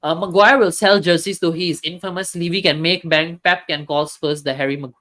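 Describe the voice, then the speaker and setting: A man's voice: fairly high pitch, steady tone, brisk, pushed and bright. Man rambling through a football stream. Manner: hesitant, many fillers and restarts. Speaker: football talk stream host. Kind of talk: casual live-stream football chat, reading chat comments.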